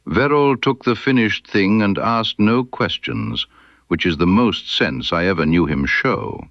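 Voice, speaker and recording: A man acting out a proud, stubborn wax sculptor in an audiobook. The voice is low and slow, with blunt, formal period phrasing and emphatic swings of pitch. It is recorded very dry. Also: animated, creaky, dry recording, muffled recording. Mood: wary, defiant. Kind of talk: character dialogue in an audiobook.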